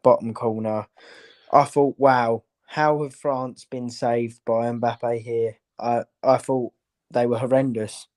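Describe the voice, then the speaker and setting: Man, blunt football pundit, medium pitch. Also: fairly even intonation, slow, a little mellow. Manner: blunt, opinionated. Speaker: football show panellist. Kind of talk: casual football analysis.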